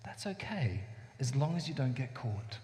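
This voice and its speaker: A man raised in Iceland, an author at a book launch, speaking thoughtfully with many pauses and fillers. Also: medium pitch, sing-song, a little mellow. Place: church hall. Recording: echoey hall with long reverb.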